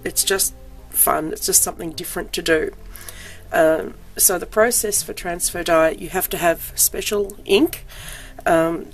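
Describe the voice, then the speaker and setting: Croaky, under-the-weather woman, low-pitched, chatty show-and-tell with faint music behind. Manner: chatty, frequent ums, self-deprecating. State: croaky voice from illness. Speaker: crafting vlogger.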